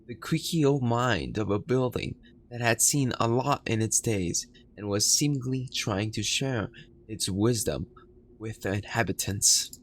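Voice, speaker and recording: A man who streams games, reading a horror game's story aloud in a slow, dramatic storytelling way. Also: medium pitch, a bit bright, slight background noise. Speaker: gaming streamer.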